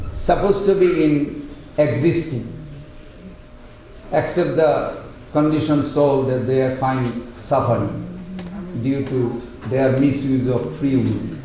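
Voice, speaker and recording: Slow, earnest man in an echoey hall. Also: sits high. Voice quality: loud voice. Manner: earnest, unhurried, with pauses and broken sentences. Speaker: speaker at a devotional study group. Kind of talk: devotional lecture. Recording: very echoey room, voice far from mic, faint hiss.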